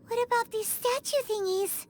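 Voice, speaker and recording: A woman voicing a small, childlike fairy companion in a video game, frank and excitable, with a squeaky cartoonish voice. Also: moderate pace, faint music behind. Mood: puzzled.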